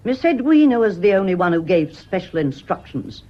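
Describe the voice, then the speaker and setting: A prim housekeeper played by an actress in a radio drama. She speaks in a medium-pitched, stiff voice full of guarded resentment. The recording is dull, like an old broadcast. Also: very animated, moderate pace, lightly acted. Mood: prim, cold. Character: prim, resentful housekeeper, defensive and devoted to mistress. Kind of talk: British radio drama acting.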